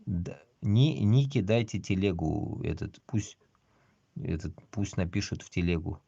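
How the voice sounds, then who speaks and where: A man's voice: deep voice, animated, a little slow, gravelly, warm tone, breathy edge. A man from Bashkortostan, hosting a casual livestream in a blunt way. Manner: blunt, heavily swearing. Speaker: livestream host. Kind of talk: casual livestream chat with read-out news. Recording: dull, lo-fi stream audio.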